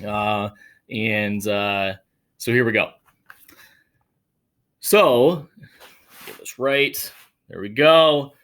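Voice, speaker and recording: A man's voice: lowish voice, drawn-out words, pauses often, smooth. A man hosting a picture-book read-aloud for children, telling the story expressively and adding chatty asides to his young listeners. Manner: expressive, dramatic storytelling, addressing children directly. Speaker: children's read-aloud host, teacher or school staff.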